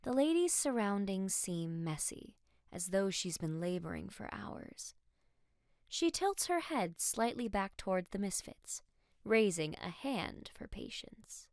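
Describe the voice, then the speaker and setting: A woman's voice: medium pitch, big pitch swings, measured pace, a little mellow, no room sound, studio quality. A woman giving scripted narration for a fantasy story.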